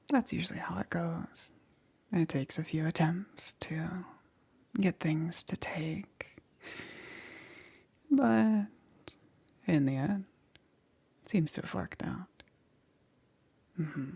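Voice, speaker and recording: Casting: a woman plays soft-spoken witch necromancer for ASMR roleplay; gravelly fry, husky half-whisper, calm and eerily gentle. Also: deep voice, heavily acted. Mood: reassuring, quietly amused. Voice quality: breathy, often dropping into whisper. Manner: unhurried, halting with pauses, soothing.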